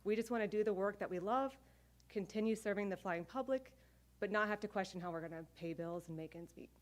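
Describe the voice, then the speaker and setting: A middle-aged woman speaking as an air traffic controller at a press conference, earnest and measured. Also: medium pitch.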